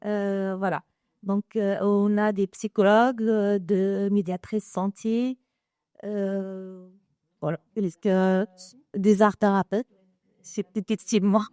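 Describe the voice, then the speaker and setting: Hesitant woman speaking slowly at a panel. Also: medium pitch, level tone, warm tone, clean tone, dry recording, clean studio sound. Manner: hesitant, many fillers and restarts. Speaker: educator. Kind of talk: panel discussion. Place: conference room.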